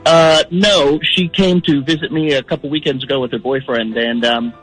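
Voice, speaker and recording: Casual man with a highish voice. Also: steady tone, normal speed, fairly bright tone, soft background music, poor audio. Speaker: radio phone-in guest, prank-call target. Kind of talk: casual, informal phone chat.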